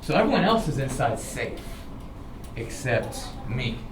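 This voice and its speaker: An American man tells informal personal stories in an animated, dramatic way, often checking in with his listeners. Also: medium pitch, a little slow. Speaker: storyteller, show host. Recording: roomy, distant, clearly noisy recording.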